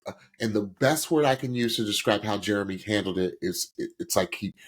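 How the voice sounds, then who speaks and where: Husky-voiced man chatting about singers. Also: on the low side, fairly quick. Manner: animated, quotes himself, many restarts. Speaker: podcast co-host. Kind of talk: casual music review chat.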